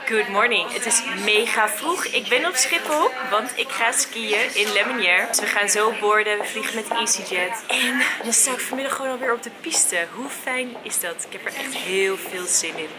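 A woman's voice: a little high, normal speed, few pauses, loud music behind the voice. A woman, chatting casually to a handheld camera in an upbeat, enthusiastic way. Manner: upbeat and enthusiastic, gushing superlatives. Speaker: travel and lifestyle vlogger. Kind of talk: casual vlog chat to camera. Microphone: camera held at arm's length.

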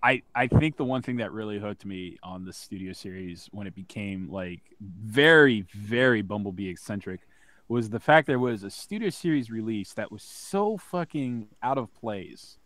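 A man co-hosting a casual podcast, rambling through long animated stories with some swearing. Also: on the low side, moderate pace, no echo. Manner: rambling, animated storyteller, profane. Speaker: podcast co-host.

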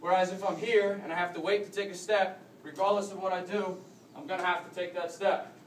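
A man's voice: high-pitched, fairly even intonation, fairly quick, slight background noise. A young American man coaching rugby technique, explaining as he demonstrates moves in a big conference room, recorded on a phone camera from across the room. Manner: explanatory, demonstrating while moving. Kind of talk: demonstration clinic. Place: large carpeted conference room with a crowd standing around. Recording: roomy echo, distant from the mic.

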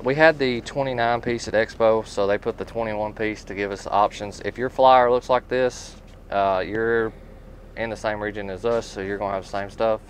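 Guy in his 30s, kinda low voice, folksy rambly tool dealer chat, bit of noise behind. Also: moderate pace. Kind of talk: casual product talk and storytelling. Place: inside a tool truck. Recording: steady background noise.

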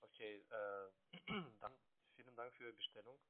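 A man with a foreign accent, a non-native speaker, giving a halting, hesitant telephone sales pitch. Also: steady tone. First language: not German. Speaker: telesales call centre agent. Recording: narrow, tinny phone line.

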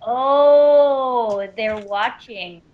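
A fairly high-voiced woman from Canada chatting as an interview guest, wandering through stories with plenty of fillers and a self-deprecating streak. She comes through a muffled, lo-fi online call, her voice slightly dulled. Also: very slow, slight background noise. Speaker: guest voice actress. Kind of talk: casual interview chat.

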